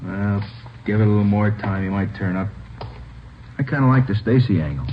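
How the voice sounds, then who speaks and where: An actor playing a blunt, probing police lieutenant in an old radio crime drama, with a curt, questioning manner. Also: male voice, on the low side, animated, a little fast, close to an everyday voice. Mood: pondering. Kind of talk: 1940s-50s radio crime drama acting. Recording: tinny, faintly noisy old radio recording.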